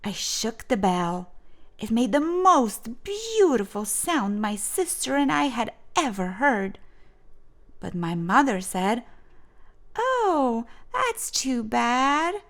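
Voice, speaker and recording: A woman reading a children's storybook aloud in an expressive, deliberate way with dramatic pauses. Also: a little high. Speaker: storyteller, host of a read-aloud show.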